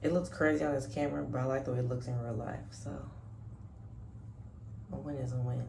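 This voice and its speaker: A woman chatting casually to her camera at home, sleepy and soft-spoken, rambling and correcting herself as she goes. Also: fairly even intonation, brisk, vocal fry, a little hiss or hum. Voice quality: deep voice. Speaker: vlogger. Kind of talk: casual chat. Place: her apartment, indoors.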